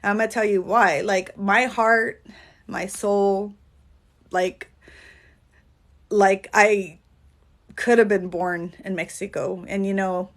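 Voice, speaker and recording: A woman from California chats casually on a phone livestream. She rambles in a sincere, heartfelt way and fills her pauses with "um" and "like". Her voice sits at an ordinary middle pitch. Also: steady tone. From California, USA. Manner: rambling, earnest, heavy on fillers like "um" and "like". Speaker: dance choreographer and coach.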